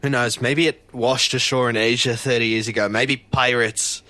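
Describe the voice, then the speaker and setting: A man's voice: mid-range voice, fairly even intonation, normal speed, pushed and bright, lightly acted. A man playing an earnest, bewildered fisheries officer who narrates his own story in an audio drama. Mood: urgent, bewildered.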